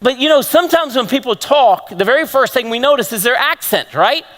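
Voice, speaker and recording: A man with a Texan accent preaching a lively sermon to a congregation, throwing out questions to the room. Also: extremely high pitch, brisk. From Texas. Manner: animated, rhetorical questions to the congregation. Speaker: pastor. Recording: long hall reverb.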